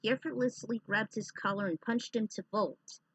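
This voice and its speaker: A woman's voice: mid-range voice, moderate pace, a bit bright, breathy edge, no room sound, muffled recording. A woman hosting with casual commentary and reading a story she has written out. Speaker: host and fan fiction reader.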